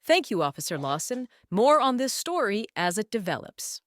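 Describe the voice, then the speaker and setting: An actress playing a polished, emphatic news anchor delivering a mock broadcast in an audio drama. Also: female voice, medium pitch, very animated, moderate pace, fairly bright tone. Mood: urgent, professional.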